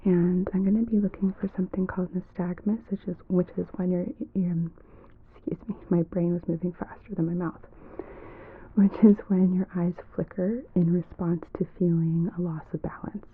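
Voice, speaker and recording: A woman giving calm, gentle clinical instructions as a doctor in an ASMR examination roleplay, often dropping into a soft whisper. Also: on the low side, normal speed, narrow, tinny audio, slight background noise. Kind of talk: ASMR medical exam roleplay.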